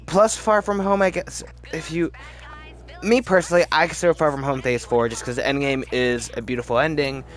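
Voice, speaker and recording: A man hosting a casual, opinionated commentary video, talking in a rambling, emphatic way and often interrupting himself. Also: fairly high pitch. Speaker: video host.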